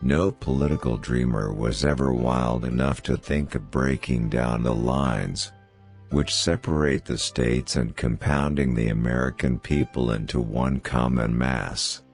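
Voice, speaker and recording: Really deep gravelly guy narrating quotes, formal and measured, bit of music behind him. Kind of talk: scripted narration of famous quotations. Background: background music.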